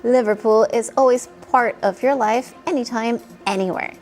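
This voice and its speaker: A woman's voice: on the high side, moderate pace, darkish tone, soft background music. A woman co-anchoring a live local news show, chatting with guests and reading a sponsored store promotion with enthusiasm. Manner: upbeat, enthusiastic.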